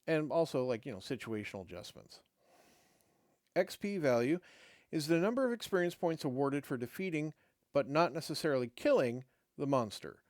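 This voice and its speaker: A man's voice: fairly high pitch, lively intonation, no echo. Man losing his voice, dry close sound. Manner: halting, many fillers and restarts between read passages. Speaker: host reading a game rulebook. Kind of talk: reading aloud with casual asides.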